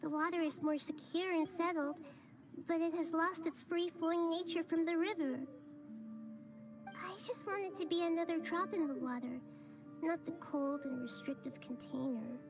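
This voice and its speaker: A woman voicing a gentle, wise young girl goddess in a game, soft and thoughtful, over loud game music. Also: very high voice, steady tone, moderate pace. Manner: soft, thoughtful, fond of analogies.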